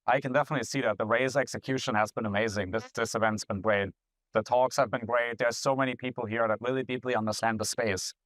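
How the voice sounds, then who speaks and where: An upbeat man runs a casual interview, often repeating a word before he gets going. His voice sits at a middle pitch and sounds close and dry. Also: steady tone, fast talker, a bit bright, no echo, poor audio. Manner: upbeat, enthusiastic, repeats words as he starts sentences. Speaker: interviewer, company CEO. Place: event venue.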